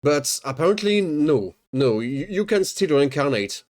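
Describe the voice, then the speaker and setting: A man's voice: on the high side, very animated, unhurried, a little mellow. A man streaming, sarcastically mocking a video as he watches it, often stammering and swearing. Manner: sarcastic, swears, jokes and mocks; stammers and repeats words. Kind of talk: casual reaction commentary.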